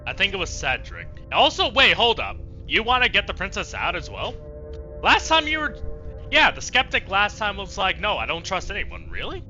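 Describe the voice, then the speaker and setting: A man's voice: sits high, wildly swooping pitch, normal speed, sharp tone, highs cut off, soft background music. A man giving casual, sweary, sarcastic gaming commentary, talking back to the game as he streams. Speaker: let's play streamer.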